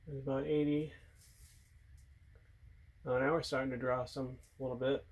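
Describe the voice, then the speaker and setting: A man giving a casual, unscripted hands-on demonstration, thinking aloud in a low-key way as he works at an indoor bench. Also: little pitch movement, moderate pace. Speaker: hobbyist host showing a radio repair. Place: indoor workbench. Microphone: handheld or tripod camera mic.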